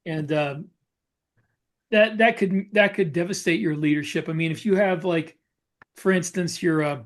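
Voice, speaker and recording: Higher-voiced man explaining casually. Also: normal speed. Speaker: podcast co-host and novelist.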